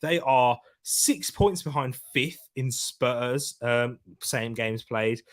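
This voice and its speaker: A man's voice: medium pitch, fairly bright tone. A man hosting a casual football preview live stream, chatting loosely and reading out and joking with viewer comments. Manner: chatty, reads out viewer comments, jokey banter. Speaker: football preview show host.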